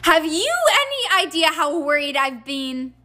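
A woman's voice: high voice, very animated, measured pace, bright, forceful tone, soft background music, voice pushed up, full character voice. A woman voicing a frantic, scolding middle-aged mother in an audiobook, with breathless reproaches. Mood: furious, menacing.

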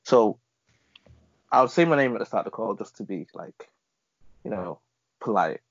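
A man's voice: lowish voice, normal speed, no room sound. A man in a casual group discussion, telling a story and acting out the people he talks to. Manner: animated storytelling, acts out callers. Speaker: panel guest. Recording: dull lo-fi sound, dry.

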